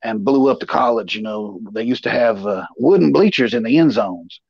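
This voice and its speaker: Man, forties, gravelly and husky, rambling profane storyteller, dull dry livestream sound. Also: mid-range voice, animated, normal speed, no room sound. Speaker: show co-host telling stories. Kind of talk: casual anecdotal storytelling. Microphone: remote livestream call. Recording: dull, muffled online stream audio.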